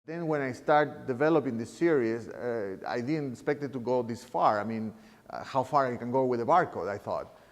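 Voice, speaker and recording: A man in his fifties from Chile, talking reflectively about his work as an artist, halting and often restarting his phrases as he searches for words. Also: mid-range voice. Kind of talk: reflective interview talk. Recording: reverberant room sound.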